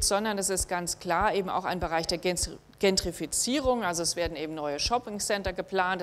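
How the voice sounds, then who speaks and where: A middle-aged woman giving a university lecture in a hall, measured and thinking aloud with frequent hesitations. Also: on the low side. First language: German. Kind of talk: academic lecture with slides.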